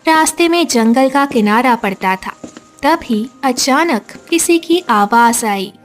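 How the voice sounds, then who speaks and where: A woman's voice: high voice, lively intonation, slow pace. A woman reading a scripted story as narrator, with music behind her. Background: background music.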